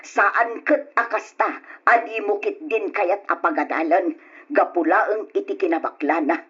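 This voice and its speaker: A woman's voice: medium pitch, measured pace, fairly bright tone, slightly rough. A woman playing a protective middle-aged mother in a radio drama, earnestly reproving her husband. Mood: reproachful. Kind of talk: Ilocano radio drama acting. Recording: dull lo-fi old radio recording.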